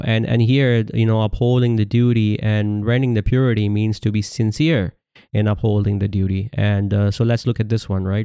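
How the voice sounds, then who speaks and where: A man whose native language is Urdu gives a scripture study lecture, reading passages aloud and explaining them with many halting fillers and restarts. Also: lowish voice, mellow, clean tone, no echo, clean studio sound. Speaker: religious teacher.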